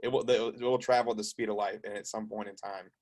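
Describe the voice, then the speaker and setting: A man's voice: lowish voice, flat delivery, a little mellow. A man hosting an interview in casual, eager chat, often restarting and repeating words as he talks. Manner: rapid, stumbling restarts, eager. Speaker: host.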